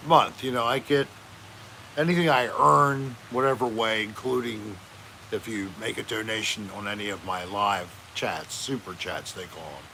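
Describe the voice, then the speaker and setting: A man's voice: medium pitch, gravelly, fairly bright tone, slight background noise, low-quality recording. A man hosting a casual livestream chat outdoors by a fence, joking and rambling in a self-mocking way. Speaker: livestream host.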